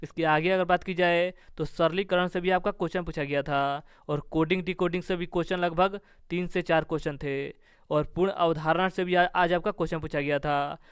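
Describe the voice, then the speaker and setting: A man's voice: on the high side, fairly even intonation, fairly quick, clean tone, no room sound, studio quality. A man giving an informal exam review as a coaching teacher, instructive and leaning on repeated stock phrases.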